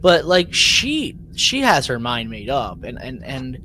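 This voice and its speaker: Halting man chatting, with background noise. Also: mid-range voice, wildly swooping pitch, sharp tone. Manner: halting, repeats and rewords phrases. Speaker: podcast co-host. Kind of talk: casual recap chat.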